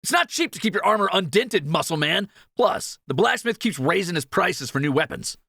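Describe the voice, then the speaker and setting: A man's voice: high voice, very animated, moderate pace, creaky, sharp tone, slightly rough, no echo, clean studio sound, full character voice. A man playing a cocky, greedy thief in a fantasy audio roleplay, teasing and sarcastic with swaggering banter. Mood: insistent.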